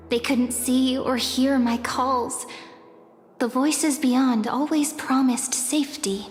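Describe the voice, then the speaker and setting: A gentle spirit in a video game, speaking slowly and softly, with earnest warnings and a lonely undertone. Her slow lines hang in a long reverb over music. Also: on the high side. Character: gentle, lonely young woman spirit of a void. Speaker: game character.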